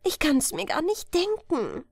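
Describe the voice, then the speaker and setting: Woman voicing a high, yearning fairy-tale girl. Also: wildly swooping pitch, unhurried, a little mellow, dry recording, clean studio sound, heavily acted. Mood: tender wonder. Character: wistful, curious fisherman's daughter, a young girl yearning for forbidden wonders. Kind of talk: fairy-tale audiobook character voice.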